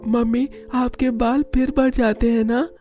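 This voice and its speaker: Cartoon small child: squeaky-high, sweet, earnest, affectionate. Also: male voice, little pitch movement, a little slow, warm tone, faint music behind. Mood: tender. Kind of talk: Hindi cartoon story voice acting.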